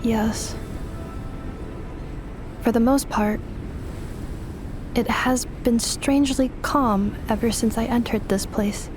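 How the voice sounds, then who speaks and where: A woman playing a gentle robot in a game cutscene, solemn and formal, with measured, precise wording. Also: a little high, fairly even intonation, music in the background. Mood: pensive. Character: gentle female robot, solemn, fearing her lost memories. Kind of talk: dubbed video game cutscene acting.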